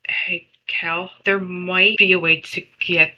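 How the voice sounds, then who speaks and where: A woman playing a tabletop roleplaying game, improvising in character in a halting, earnest way with repeated phrases. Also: lowish voice, flat delivery, lo-fi sound.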